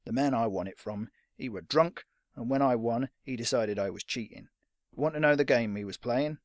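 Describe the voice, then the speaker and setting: A man voicing a gruff, curt retired old fighter with a rough, lowered voice. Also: normal speed, no echo, studio quality, natural voice. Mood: menacing. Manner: curt and menacing.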